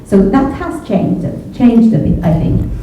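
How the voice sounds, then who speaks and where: Echoey, distant Finnish woman, halting speech. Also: fairly high pitch, very animated. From Finland. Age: middle-aged. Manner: hesitant, halting, often repeating words. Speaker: panelist, journalism educator. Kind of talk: panel discussion, thoughtful spontaneous answers. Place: echoey indoor event room with a panel. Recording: echoey room from far off, phone-like, faint noise.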